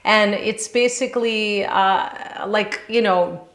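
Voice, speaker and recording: A woman's voice: medium pitch, steady tone, a bit bright. Woman teacher talking in an echoey room. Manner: thoughtful, halting, checks with "right?". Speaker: yoga teacher. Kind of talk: informal question-and-answer chat after class. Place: indoor room on a group video call. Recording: roomy, echoing video call sound.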